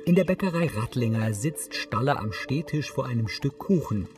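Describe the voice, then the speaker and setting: A man's voice: medium pitch, animated, normal speed, far from the mic, music in the background, natural voice. A man reading scripted scene descriptions as a neutral narrator for a television film.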